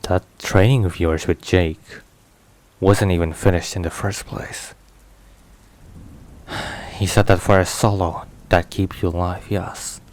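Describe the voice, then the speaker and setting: A man playing a stern, protective, weary mafia boss in an intimate ASMR roleplay, speaking in a grave, measured, halting way. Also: sits low, poor audio. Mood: stern, blunt. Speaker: voice actor. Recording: steady rain and thunder noise. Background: rain and thunder.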